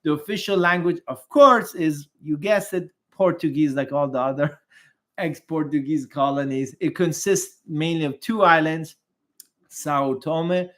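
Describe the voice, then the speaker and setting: A man with a slightly high voice talks through a promotional explainer. He is conversational and salesy, adds chatty asides and quick laughs, and trips over foreign pronunciations. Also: fairly bright tone. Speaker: investment migration firm presenter.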